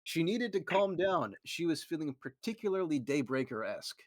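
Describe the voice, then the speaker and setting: A man hosting a comedic fan fiction reading, animated and often laughing. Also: a little high, moderate pace, no echo. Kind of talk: comedic reading with group commentary.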